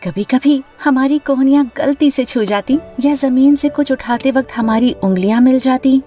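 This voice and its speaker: A woman plays a young female student with a high, dramatic voice. She confides her cartoon tale like an imaginative, anxious girl in love. Soft music sits under her words. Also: moderate pace, natural voice. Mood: dreamy confiding. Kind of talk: animated first-person story narration and dialogue.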